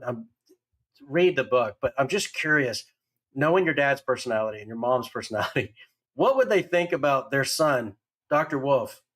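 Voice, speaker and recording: A man's voice: mid-range voice, a bit bright. A man hosting a podcast, asking long, rambling, curious questions and talking up the guest to his listeners. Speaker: podcast host. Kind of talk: casual podcast interview.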